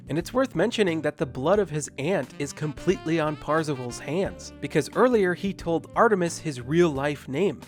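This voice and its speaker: A man delivering scripted film review commentary with emphatic, sarcastic asides. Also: fairly high pitch. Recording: music behind the voice. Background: background music.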